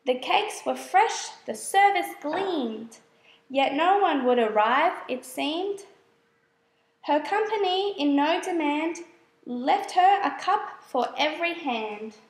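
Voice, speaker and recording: Woman, storybook narrator, very high pitch, slow measured pace, expressive scripted verse reading, roomy recording. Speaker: storybook reader.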